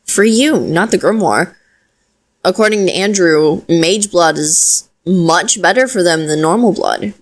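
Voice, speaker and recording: A woman playing a caring, frank witch in an audio roleplay, speaking to the listener in a gentle, conversational way. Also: fairly low pitch, lively intonation, unhurried. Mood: incredulous. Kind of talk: intimate audio roleplay acting, addressing listener.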